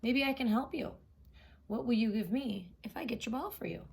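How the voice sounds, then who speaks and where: A woman voicing an eager little male frog in a storybook, high and insistent. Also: animated, moderate pace, gravelly, a little mellow. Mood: bargaining.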